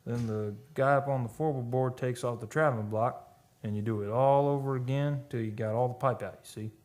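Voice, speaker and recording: Actor as a folksy American oil rig hand. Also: male voice, medium pitch, fairly even intonation, fairly quick, a little mellow, close to an everyday voice. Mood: matter-of-fact storytelling. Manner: folksy, ungrammatical, explanatory. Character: plain-spoken, easygoing oil rig hand telling his tale. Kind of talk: radio play acting and narration.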